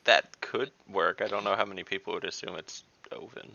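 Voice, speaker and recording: Man, tabletop player, hesitant thinking aloud, bright edge, dull call audio. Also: low-pitched, steady tone, a little fast, vocal fry, no room sound. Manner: thinking aloud, hesitant with ums, dropping to a mutter. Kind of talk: casual tabletop game chat.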